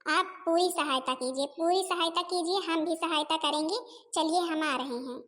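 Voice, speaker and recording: A woman's voice: extremely high pitch, normal speed, fairly bright tone. A woman voicing a young, dutiful, long-suffering daughter-in-law in a family comedy cartoon, deferential and chatty. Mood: delighted.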